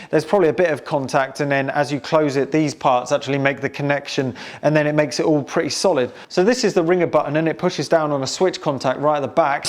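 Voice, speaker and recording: A British man giving a casual, enthusiastic, chatty hands-on demonstration as he presents a restoration project. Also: fairly high pitch.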